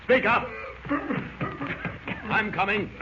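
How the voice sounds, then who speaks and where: An actor playing a heroic, resolute superhero, urgently thinking aloud in 1940s radio serial style. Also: male voice, high-pitched, slow. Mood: urgent, reassuring. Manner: dramatic, urgent self-narration. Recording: noisy, dull old radio recording.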